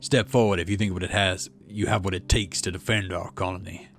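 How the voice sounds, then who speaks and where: Guy doing a grave military chief from a video game, lowish voice, firm speech to recruits. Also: steady tone, brisk, a bit bright, faint music behind. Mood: stern. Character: stern male security chief recruiting young soldiers. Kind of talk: voiced visual novel dialogue.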